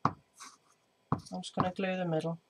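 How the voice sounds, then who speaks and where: A woman with a slightly croaky voice, chatting casually through a scrapbooking tutorial with lots of ums while she works at a craft table. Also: fairly low pitch, level tone, takes it slowly, heavy background noise. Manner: chatty, many ums, hands busy while talking. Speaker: scrapbooking vlogger. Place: indoor home craft table. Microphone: overhead camera on desk, speaker off screen.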